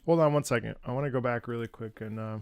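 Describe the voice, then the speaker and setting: A man streaming a video game, thinking aloud in casual, animated commentary as he analyzes the music. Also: medium pitch, fast talker, very noisy.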